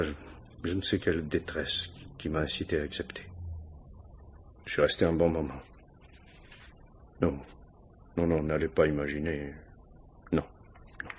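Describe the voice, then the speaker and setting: Anxious husband, very husky low voice. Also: male voice. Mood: defensive, uneasy. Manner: hesitant, self-justifying, halting. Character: anxious married man confessing to a police inspector. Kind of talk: 1950s French radio crime drama acting.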